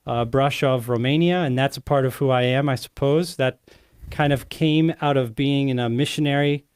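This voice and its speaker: A man's voice: mid-range voice, steady tone, normal speed, darkish tone, smooth. Man hosting a podcast, rambling and friendly. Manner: friendly, rambling, self-correcting. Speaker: host. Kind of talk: casual podcast chat.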